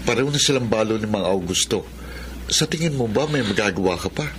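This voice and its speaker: A man playing a calm, conciliatory husband and son-in-law in a radio drama. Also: on the low side, a little fast, background noise, natural voice. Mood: reasoning. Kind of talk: Filipino radio drama acting.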